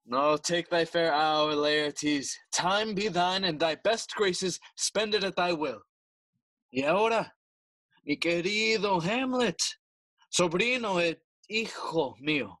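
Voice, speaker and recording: An actor playing a stately, smooth-talking usurper king with formal, declamatory oratory in a bilingual Spanish-English audio play. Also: high-pitched, slow pace, fairly bright tone, no echo, poor audio. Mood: gracious.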